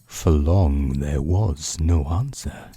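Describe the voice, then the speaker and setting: Deep, slow-speaking male bedtime storyteller. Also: mellow, breathy edge. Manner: dramatic pauses, savoured phrasing. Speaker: podcast host and storyteller. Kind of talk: scripted narration, bedtime storytelling.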